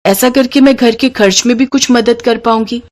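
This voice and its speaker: Woman acting a meek, earnest young daughter-in-law, higher voice. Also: steady tone, normal speed, darkish tone, close to an everyday voice. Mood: pleading, hopeful. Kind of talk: Hindi moral cartoon story acting.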